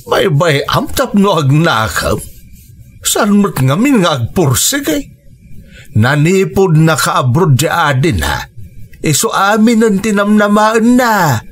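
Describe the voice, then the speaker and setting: Slow, high-pitched Ilocano father. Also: wildly swooping pitch, exaggerated voice. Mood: concerned, reproachful. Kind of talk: Filipino radio drama acting.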